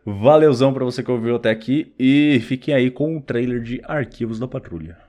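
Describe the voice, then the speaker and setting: A man's voice: medium pitch, brisk, warm tone. A man with a Brazilian accent hosting a podcast in an informal, slangy, conversational way. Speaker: podcast host. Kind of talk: casual chat.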